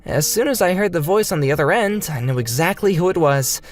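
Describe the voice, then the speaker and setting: Manga dub narrator, male, animated delivery over a music bed. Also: a little high, fairly quick.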